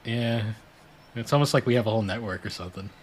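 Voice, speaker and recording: A man's voice: fairly low pitch, fast, a little hiss or hum. A young man co-hosting a podcast, chatting casually with dry, facetious jokes. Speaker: podcast co-host. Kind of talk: casual chat.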